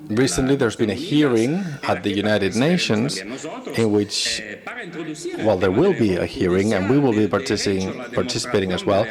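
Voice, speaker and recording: A man giving a live interpretation of an academic conference talk, speaking haltingly phrase by phrase and often rephrasing. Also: on the low side.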